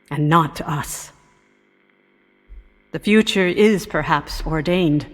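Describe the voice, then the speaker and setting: A woman playing a grave professor giving a solemn, measured, formal address in a radio drama. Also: mid-range voice. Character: grave female professor delivering a solemn warning. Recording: long hall reverb.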